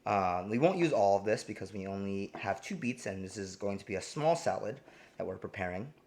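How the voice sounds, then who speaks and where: A man's voice: lowish voice, animated, brisk, a little mellow. Laid-back man hosting a cooking stream. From New Jersey, US. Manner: easygoing, rambling with many ums and restarts. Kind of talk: casual live cooking demo, reading chat.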